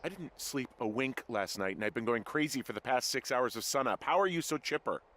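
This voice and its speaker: An actor playing a weary, anxious American fire lookout in a video game, hesitant and exasperated in natural dialogue. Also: male voice, medium pitch, animated, fairly quick, a bit bright, slight background noise, lightly acted. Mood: exasperated, tired.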